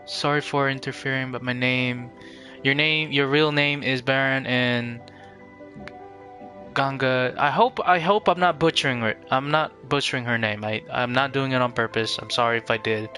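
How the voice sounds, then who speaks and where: A man hosting a live game stream, chatting casually and politely with viewers in a halting way, searching for words. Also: mid-range voice, bright, forceful tone, faint music behind.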